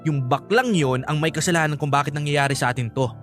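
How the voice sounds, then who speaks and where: A man's voice: on the high side, quick pace, a bit bright, natural voice. A resentful young man in a radio drama, played by an actor, arguing as a protective son. His delivery is bitter, emphatic and insistent, with music underneath. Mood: bitter, angry.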